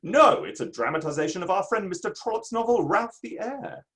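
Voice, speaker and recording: A man's voice: high voice, animated, fairly quick, gravelly, fairly bright tone, exaggerated voice. A man playing a pompous, self-satisfied Victorian playwright in a theatrical dramatic reading. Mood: breezy, boastful. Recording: online reading from home setup.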